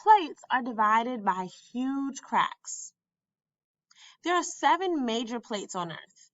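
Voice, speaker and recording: A woman reading a children's science book aloud with deliberate, emphatic phrasing, like a teacher for young learners. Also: a little high, big pitch swings, a bit bright, dry recording, muffled recording. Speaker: school staff member reading a textbook aloud.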